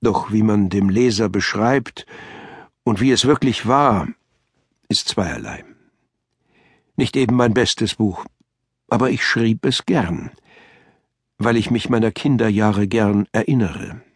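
An actor playing an elderly writer looking back on his life in a last letter, in reflective, measured literary phrasing. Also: on the low side, breathy edge, dry recording, clean studio sound. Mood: musing, resolved. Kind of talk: first-person audiobook memoir narration.

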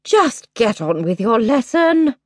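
A woman's voice: high-pitched, wildly swooping pitch, unhurried, no room sound, studio quality, voice pushed up, heavily acted. A woman voicing a stern, proper mother queen in a storybook reading, prim and firm.

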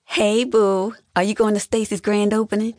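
A narrator voicing a brash, nosy, excitable younger sister who talks in a sassy, vehement way. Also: medium pitch, moderate pace, no echo, studio quality, voice pushed up, natural voice. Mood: pushy, surprised.

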